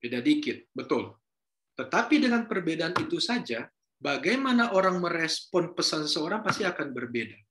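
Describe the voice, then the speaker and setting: Chatty lecturer, bright voice. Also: male voice, fairly high pitch, very animated, moderate pace, slight background noise. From North Sulawesi, Indonesia. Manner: casual, chatty, mixes in Javanese and English words, asks students questions. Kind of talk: university lecture.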